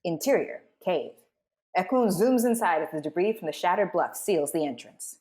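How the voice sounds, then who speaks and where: Lower-pitched woman hosting and narrating. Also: animated, moderate pace, darkish tone. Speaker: host and narrator. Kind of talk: live table read hosting and script narration.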